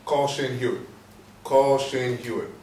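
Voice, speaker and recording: An actor in a staged play reading playing a formal, commanding courtroom official, recorded in an echoey room. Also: male voice, medium pitch, fairly even intonation, unhurried, a little mellow, close to an everyday voice. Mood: loud, official. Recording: echoey room, distant mic, faint noise.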